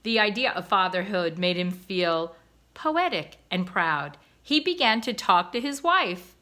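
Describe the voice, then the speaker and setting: Woman, teacher, storybook read-aloud, expressive, bright voice. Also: lowish voice, unhurried.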